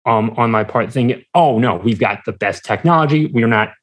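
A man speaking as a guest in a casual interview, hesitant and halting as he searches for his words. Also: mid-range voice. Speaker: podcast guest, entrepreneur.

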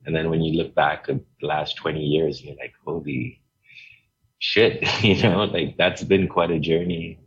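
A middle-aged man from Hong Kong, a guest telling his story in a relaxed, rambling way with many fillers. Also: very deep voice, measured pace, breathy edge, highs cut off. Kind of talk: casual interview talk.

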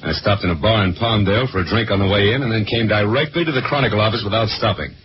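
A man acts out an unruffled, mock-polite American villain of 1940s radio, smug and suave. His voice is low. The aged recording is muffled and clearly noisy. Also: fast talker, natural voice. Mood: self-assured. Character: smooth, smug male crook, coolly self-assured. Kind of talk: 1940s radio drama acting.

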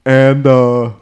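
A man's voice: medium pitch, a little mellow, smooth. Melvis, a man doing a drawling Elvis impersonator. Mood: rambling. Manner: drawling, calls everyone mama, rambling with many fillers. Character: goofy male Elvis impersonator, forgetful, boastful. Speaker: podcast co-host in character. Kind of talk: improvised comedy podcast bit.

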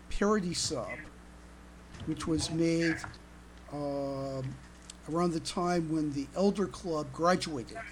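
Slow, halting podcast host, unclear background noise. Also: mid-range voice, a bit bright, rough recording. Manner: halting, repeats words. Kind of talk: casual podcast chat.

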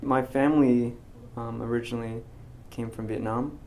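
A young man in his twenties, a graduating physics student, talking in a casual interview, soft-spoken and hesitant with many ums and restarts. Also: mid-range voice, steady tone, normal speed, a little hiss or hum. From United States. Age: 20s.

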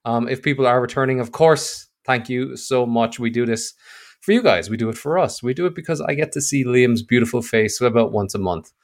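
A man's voice: mid-range voice, brisk. A man hosting a casual gaming podcast, upbeat and teasing with his co-host.

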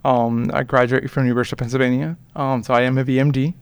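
A man, chatting as an interview guest and pausing often with "um.". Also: mid-range voice, flat delivery, smooth, no echo. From Puerto Rico. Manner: hesitant, many ums and restarts. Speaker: guest, veterinarian. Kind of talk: casual interview chat.

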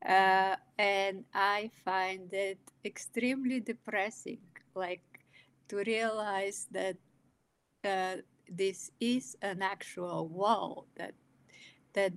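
A woman in her sixties from Bulgaria, speaking English with a Bulgarian accent, telling her life story as a guest with many pauses and fillers. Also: medium pitch, steady tone, smooth. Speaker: guest, illustrator and author. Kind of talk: personal storytelling in an interview.

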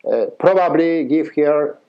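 Polish-accented man, halting storyteller. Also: a little high, creaky, dull audio. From Poland. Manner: halting, repeats words, acts out dialogue. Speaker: conductor and music teacher. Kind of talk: storytelling interview.

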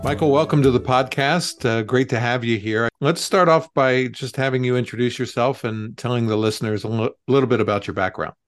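A man's voice: medium pitch, a little fast, few pauses, soft background music. A man hosting a podcast, speaking in an interview and introduction style. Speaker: podcast host.

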